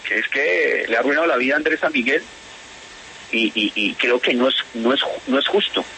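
A man from Spain who speaks with a Bogotá accent, his voice bright and forward. He talks haltingly, restarting and repeating words as he goes. Faint hiss sits under the line. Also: medium pitch, lively intonation, gravelly, poor audio. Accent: Bogotá Colombian Spanish. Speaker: interviewee, whistleblower. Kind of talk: phone interview on radio.

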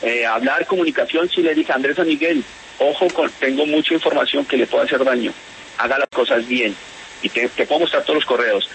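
A man's voice: mid-range voice, a little fast, gravelly, a bit bright, slight background noise, rough recording. Spanish-born man with a Bogotá accent. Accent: Bogotá Colombian Spanish. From Spain. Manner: hesitant, repeats words, restarts. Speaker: interviewee, whistleblower. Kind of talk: phone interview on radio.

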